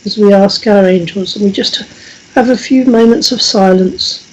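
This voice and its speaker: A woman with a croaky, husky voice, reading prayers and leading a gentle healing meditation at a church service. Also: mid-range voice, muffled recording. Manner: gentle, measured, with frequent small restarts. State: croaky, coughing voice.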